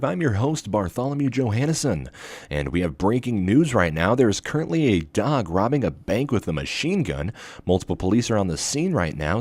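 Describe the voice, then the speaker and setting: A man putting on a booming mock news anchor. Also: a little mellow, dry recording. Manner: booming, exaggerated radio announcer delivery. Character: over-dramatic male TV news anchor. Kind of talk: mock newscast.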